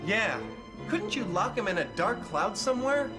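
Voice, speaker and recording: A woman's voice: mid-range voice, animated, moderate pace, close to an everyday voice. A woman voicing a feisty, protective young raindrop in a cartoon, urgent and scolding. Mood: indignant. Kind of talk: cartoon voice acting. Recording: loud music behind the voice.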